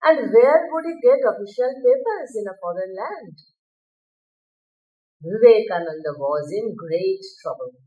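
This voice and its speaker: Middle-aged woman, Indian accent, children's storyteller, unhurried delivery, roomy echo. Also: mid-range voice, lively intonation, mellow, muffled recording. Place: indoor room with bookshelves. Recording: echoey room.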